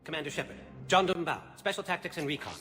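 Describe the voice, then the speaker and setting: An actor playing an assertive alien special agent giving a crisp, formal, emphatic briefing in a video game. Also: male voice, on the high side, fast talker, sharp tone. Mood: urgent, assured. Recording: long reverb, faint background ambience.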